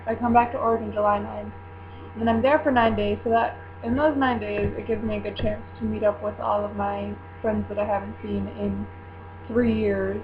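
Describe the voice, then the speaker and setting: A woman's voice: mid-range voice, narrow, tinny audio. A young woman vlogger talking casually, rambling through her plans with many fillers. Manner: rambling, filler-heavy planning talk. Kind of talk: casual chat. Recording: mains hum behind voice.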